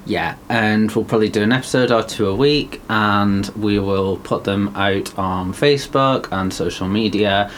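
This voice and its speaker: A young man from the north of England co-hosts a podcast in a fairly low voice. He takes his time, leaning on ums and likes as he thinks aloud. A faint hiss runs beneath the recording. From Wigan, England. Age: young adult. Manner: slow, thoughtful, filler-heavy. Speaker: podcast co-host. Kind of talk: casual chat.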